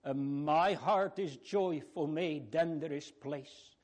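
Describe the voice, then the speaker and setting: A man preaching a solemn sermon in halting phrases, with exclamatory openings and searching rhetorical questions. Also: fairly high pitch, darkish tone.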